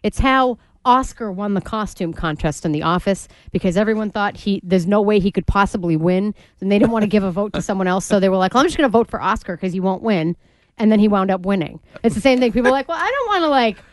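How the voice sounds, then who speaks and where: A woman co-host with a Boston accent, dry and sarcastic in quick banter. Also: mid-range voice, dry recording. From Boston area. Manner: sarcastic, dismissive quips. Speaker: radio show co-host. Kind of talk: casual radio banter.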